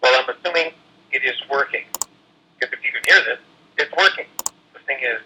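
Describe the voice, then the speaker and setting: A man's voice: mid-range voice, fairly quick, bright, forceful tone, a little hiss or hum. A man hosting a video talks casually to viewers through a walkie-talkie, muffled and distorted. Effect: walkie-talkie radio. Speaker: host of a DIY video channel.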